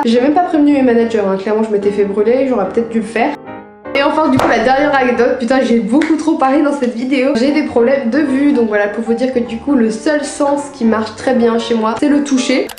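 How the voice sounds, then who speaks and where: A woman's voice: fairly high pitch, a little fast, darkish tone. A young woman with a French accent from France, telling casual personal anecdotes to viewers in an informal, slangy, confiding way. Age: young adult. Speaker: video creator. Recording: roomy echo, music underneath.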